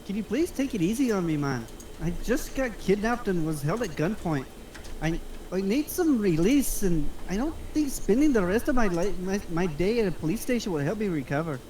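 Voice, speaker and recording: An anxious young man pleads his case in a screenplay read-through, acted out by a reader. The voice sits at an average pitch, with faint noise around it. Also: animated, normal speed, warm tone, close to an everyday voice, rough recording. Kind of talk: screenplay table reading. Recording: noticeable room noise.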